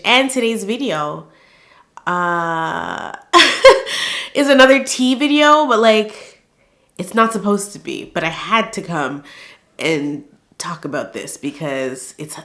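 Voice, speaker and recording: Nasal, stuffed-up woman dishing gossip. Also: medium pitch. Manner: animated, laughing, addresses viewers as girl. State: stuffy nose from the flu. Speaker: gossip channel host. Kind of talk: casual celebrity gossip chat.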